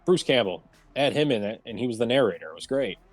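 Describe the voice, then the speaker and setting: A man chatting casually as a panelist in a group discussion, often pausing as he searches for what to say. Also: medium pitch, animated. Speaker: round table panelist. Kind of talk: casual group discussion about games and films. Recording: roomy echo, music underneath.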